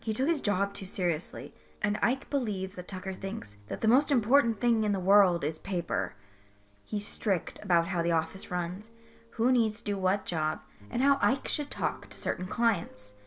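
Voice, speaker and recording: A woman's voice: mid-range voice, moderate pace, a bit bright. A woman reading scripted fan fiction as its narrator.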